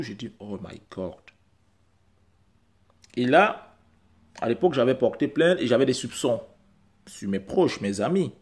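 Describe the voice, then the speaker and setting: A man whose first language is not French, talking straight to camera in an insistent, repetitive way and building to emphatic peaks. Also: mid-range voice. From Central Africa. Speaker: vlogger.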